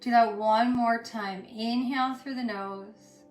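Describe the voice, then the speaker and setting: A woman with a somewhat high voice guides a yoga and meditation class. She soothes as she goes, speaking in short phrases with pauses between them. The room adds a clear echo, and music plays underneath. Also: steady tone. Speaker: yoga instructor.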